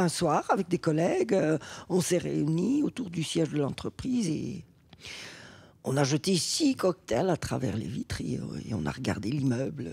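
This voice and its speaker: An actress playing a nervous, apologetic, quietly defiant home care worker in a radio drama, hesitant and stammering. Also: female voice, low voice, vocal fry, husky, heavily acted. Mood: sheepish, defiant. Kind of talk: naturalistic radio drama acting.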